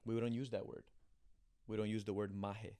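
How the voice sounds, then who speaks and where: A young man from Colombia, a former pro soccer player, telling animated stories in a casual interview, acting out quotes and restarting often. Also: on the low side, moderate pace, clear, resonant tone, no echo. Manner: animated storyteller, acts out quotes, frequent restarts.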